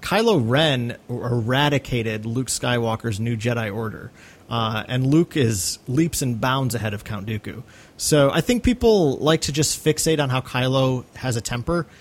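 A man's voice: medium pitch, expressive, normal speed. A man co-hosting a podcast, giving his opinions in casual conversation. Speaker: podcast co-host.

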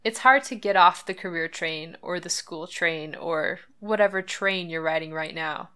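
Smooth low-voiced podcast host woman. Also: moderate pace, bright, forceful tone. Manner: earnest, chatty, with light laughs. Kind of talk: scripted podcast monologue.

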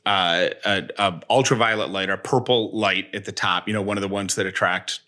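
Bright-voiced American man, low pitch. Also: steady tone, normal speed. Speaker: co-host. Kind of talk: casual personal chat.

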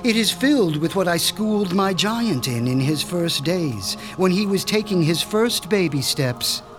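Creepy mad scientist, slow and smug, kinda high voice, faint music behind. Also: male voice, animated. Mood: menacing. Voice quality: measured, menacing, pompous. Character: man, a sinister mad scientist doctor seeking revenge. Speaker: Dr. Stein. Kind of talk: radio drama acting.

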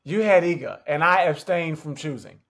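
A man hosting a tennis podcast, talking in a relaxed, conversational way. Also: a little high, normal speed. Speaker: podcast host. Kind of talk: podcast interview.

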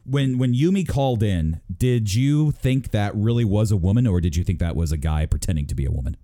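Animated, mellow male host. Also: fairly low pitch, a little fast, dry recording, studio quality. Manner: teasing, profane. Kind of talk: casual, bantering interview.

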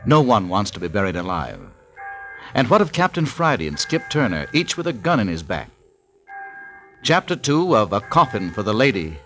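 A man's voice: mid-range voice, fairly bright tone, slight background noise, rough recording. A man announcing a radio serial in a dramatic way, on an old broadcast recording with music. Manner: dramatic, questioning.

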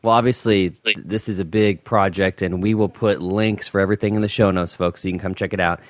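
A man's voice: low voice, steady tone, fairly quick, no echo. A male podcast host chatting casually with guest writers, joking at his own expense and repeating words as he talks. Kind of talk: casual interview chat.

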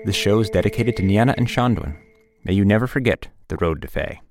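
A man's voice: on the low side, animated, fairly quick, music in the background. A man announcing a scripted introduction to an audio drama.

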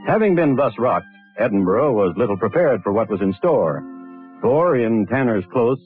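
A man narrating a scripted crime story as host of a 1950s radio show, in a measured, dry storytelling manner. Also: mid-range voice, animated, phone-quality sound. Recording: thin, band-limited vintage radio broadcast. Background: music.

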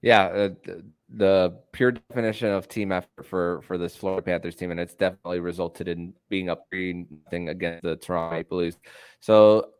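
Lower-pitched male sports podcast host. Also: fairly even intonation, brisk, clear, resonant tone. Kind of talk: sports podcast hosting, conversational presenting.

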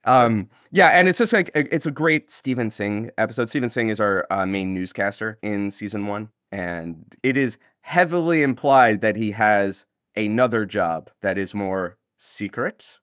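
A man's voice: on the low side, animated, measured pace, hardly any pauses, a bit bright, no room sound, clean studio sound. A man speaking casually and enthusiastically as an interview guest, acting out lines as he explains. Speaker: audio drama creator, guest.

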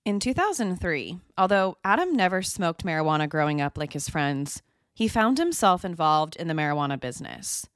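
A woman hosting a true crime podcast, telling the story in a measured, scripted narration. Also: sits low, very animated, clear, resonant tone, no room sound, clean studio sound. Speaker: podcast host.

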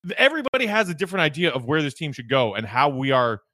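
A man with a bright, slightly high voice talks sports as a podcast co-host. He is informal and joking, chatting casually in a very dry recording. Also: expressive, very fast, no room sound.

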